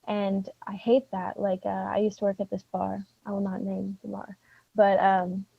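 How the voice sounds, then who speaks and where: A twenty-three-year-old woman speaks earnestly in an interview. Her voice is husky with a gravelly fry and a flat melody, on muffled, dry lo-fi audio. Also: medium pitch, no room sound. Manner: earnest, quick, fillers. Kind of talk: casual interview answer. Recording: dull lo-fi audio.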